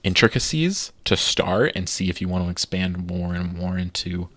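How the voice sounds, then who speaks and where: A man's voice: low voice, lively intonation, normal speed, fairly bright tone, no echo. A man co-hosting a podcast, chatting casually and enthusiastically with many fillers. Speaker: podcast co-host. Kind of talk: casual chat.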